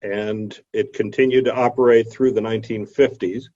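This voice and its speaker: A man's voice: lowish voice, steady tone, normal speed, dark tone. A man giving an explanatory history lecture in a somewhat hesitant manner, recorded over a video call. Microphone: video call microphone. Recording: dull video call audio.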